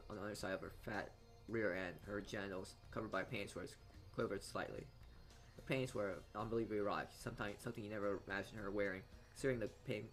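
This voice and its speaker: A man reading a visual novel aloud as a let's-player, stumbling over lines and adding his own asides. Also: lowish voice. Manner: halting reading with frequent stumbles. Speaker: video game let's-player.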